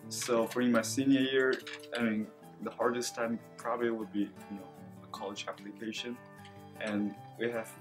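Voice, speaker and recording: Earnest young man. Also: lowish voice. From China. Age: late teens. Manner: earnest, many "you know" fillers. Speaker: student. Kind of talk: interview testimonial. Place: school library with bookshelves, indoors. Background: soft background music.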